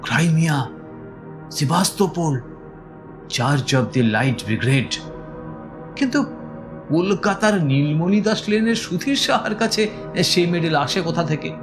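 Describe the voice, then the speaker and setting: A man playing a village schoolteacher who recounts his own eerie experience in measured, hesitant audio-story narration. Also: on the high side, lively intonation. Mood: intrigued. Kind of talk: dramatised first-person audio story narration. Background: music.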